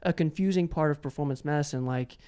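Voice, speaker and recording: A man's voice: on the high side, quick pace, dark tone, clean tone. A man hosting a casual interview, asking long, rambling questions and often doubling back on his words. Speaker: interview host.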